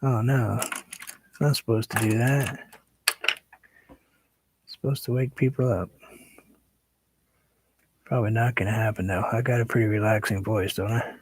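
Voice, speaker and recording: A man hosting a painting livestream, chatting casually and relaxed with viewers, sometimes dropping to a soft whisper as he works. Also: lowish voice, normal speed, long pauses, breathy edge, no echo. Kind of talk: casual chat while painting.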